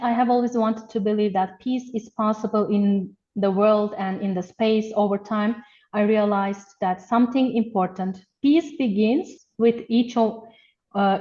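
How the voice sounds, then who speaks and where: A woman hosting an online event, giving an earnest, measured, formal welcome over a video call. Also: mid-range voice, warm tone. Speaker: webinar host. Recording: dull, lo-fi video call audio.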